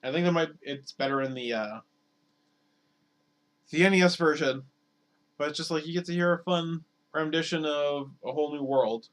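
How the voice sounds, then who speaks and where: Slightly hoarse man streaming, rambling chat. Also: fairly high pitch, normal speed, long pauses, a bit bright. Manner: rambling, filler-heavy, repeats words. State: slightly hoarse, losing his voice. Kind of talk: casual live-stream chat over gameplay.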